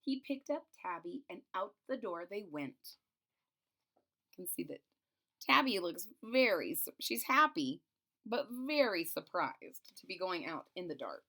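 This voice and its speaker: A woman's voice: on the low side, measured pace. Woman reading a children's storybook aloud. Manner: expressive, explains the pictures in simple words. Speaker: teacher reading a picture book aloud.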